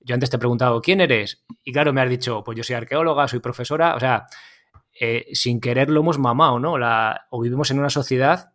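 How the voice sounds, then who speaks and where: A man's voice: mid-range voice, fairly quick, fairly bright tone. Casual male host, rambling questions. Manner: informal, apologetic, rambling questions. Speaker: podcast host. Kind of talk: casual interview. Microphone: video call.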